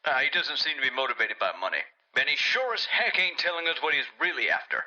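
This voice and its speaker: A baffled company accountant, in a cartoon horror game. He is uneasy and folksy, his sharp voice coming through dull old tape. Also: a little high, expressive, fast talker. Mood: wary. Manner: hesitant, folksy, exasperated. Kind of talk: audio log in a cartoon horror video game.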